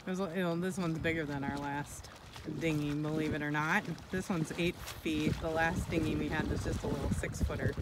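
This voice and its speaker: A woman's voice: low-pitched, steady tone, normal speed. A woman in her forties chatting casually and laughing often, filming from a small boat outdoors. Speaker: sailing vlog co-host. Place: outdoors on water in a dinghy. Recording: loud wind and water noise.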